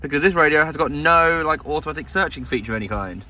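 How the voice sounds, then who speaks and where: A man's voice: a little high, expressive, fast talker, pushed and bright. British man, wry casual vlogger. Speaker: radio hobbyist vlog host. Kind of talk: casual vlog chat to camera. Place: inside a parked pickup truck.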